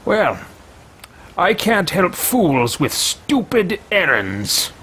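A man's voice: high-pitched, sing-song, extremely slow, fairly bright tone, husky. A man in his thirties roleplaying a haughty, pompous character over gameplay, talking into a headset at home. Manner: pompous, theatrical, sly asides. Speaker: let's play host voicing his character. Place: home room with bookshelves.

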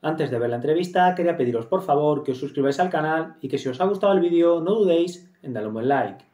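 A man's voice: on the high side, animated, fast talker, dark tone. A man in his thirties hosting a video-call interview, polite and friendly, opening with a scripted welcome before asking questions. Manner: friendly, polite, short backchannels. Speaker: interviewer and show host. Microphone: video call webcam.

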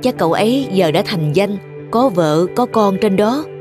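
Elderly mother, played by a woman, kindly, resigned, measured, cartoon folk tale, music underneath. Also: mid-range voice, lively intonation, close to an everyday voice. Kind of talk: animated folk tale dialogue.

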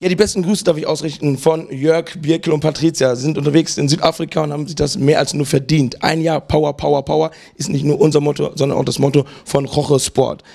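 A man hosting the show, making energetic promotional announcements into a handheld mic. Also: on the high side, quick pace, gravelly, few pauses. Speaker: show host. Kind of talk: upbeat presenter announcement.

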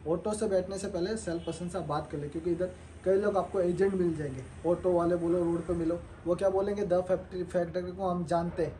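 A young man pitching his clothing collection to viewers in a showroom, talking persuasively while showing garments. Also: fairly even intonation, fairly quick, warm tone, a little hiss or hum, low-quality recording. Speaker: clothing wholesaler.

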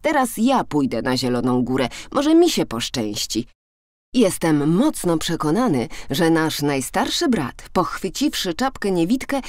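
A woman's voice: sits low, a little mellow, dry recording, clean studio sound. A woman voicing a miller's middle son, an eager and loyal boy, earnestly in a storybook reading.

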